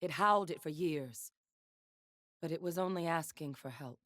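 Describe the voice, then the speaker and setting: A woman's voice: deep voice, normal speed, a bit bright, no room sound. A woman playing a battle-hardened, wry and defiant young gunslinger in a video game, speaking in a dry, clipped, commanding way. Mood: solemn. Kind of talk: video game character voice lines.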